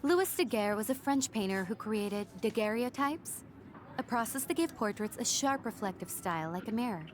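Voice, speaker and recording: A woman's voice: a little high, expressive, moderate pace, slight background noise, lightly acted. A woman playing a snobbish, catty rich art student in a video game, speaking in a precise, smug manner.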